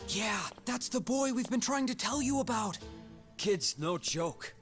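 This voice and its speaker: An actor playing a feisty, loyal yakuza underling in a video game, brash and slangy. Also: male voice, high-pitched, animated, unhurried, a bit bright, soft background music. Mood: earnest. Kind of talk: video game cutscene acting.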